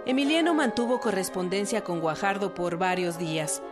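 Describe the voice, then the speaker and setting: A woman's voice: mid-range voice, moderate pace. A woman reading scripted narration for a historical radio drama. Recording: prominent string music bed.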